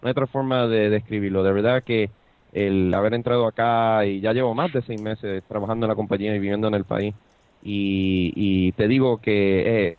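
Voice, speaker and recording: A man's voice: fairly low pitch, no echo, narrow, tinny audio. A Puerto Rican man co-hosting a podcast, chatting casually with many fillers and restarts. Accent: Puerto Rican Spanish. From Puerto Rico. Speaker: podcast co-host. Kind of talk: casual chat. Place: Buenos Aires, Argentina.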